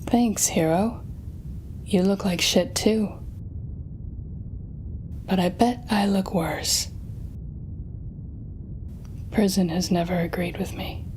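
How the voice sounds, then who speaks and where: A woman acts out a weary female supervillain in an audio drama. She speaks slowly and directly to one listener, bitter but fair, with a wry, dramatic edge. There is a faint rumbling noise behind her. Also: fairly even intonation, darkish tone, close to an everyday voice. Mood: weary, sardonic. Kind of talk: audio roleplay acting.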